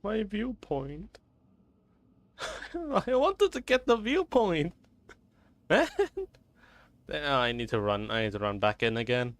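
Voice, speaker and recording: Slow-talking male game streamer, lively pitch. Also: dry recording. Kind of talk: casual live game commentary. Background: game music.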